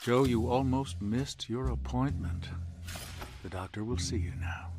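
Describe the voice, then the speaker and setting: Male actor as fanatical commander villain, unhurried delivery, sneering, pompous, menacing, light background music. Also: medium pitch, lightly acted. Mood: cold, mocking. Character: cruel, contemptuous American collaborator commander, a fanatical villain. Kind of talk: video game villain monologue.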